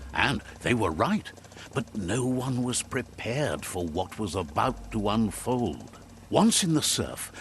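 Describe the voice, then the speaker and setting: A man narrating a game's story calmly, like a storybook, and relaying what a creature says. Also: lowish voice, slight background noise. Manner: calm storyteller, translating a creature's speech. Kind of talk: scripted storybook narration for a game. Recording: game audio with faint music behind.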